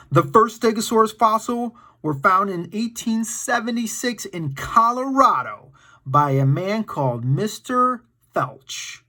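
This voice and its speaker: A man's voice: high voice, pushed and bright. A man reading a factual children's book aloud as storytime host, breaking into informal, encouraging asides to his young listeners. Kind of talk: read-aloud with casual asides to kids.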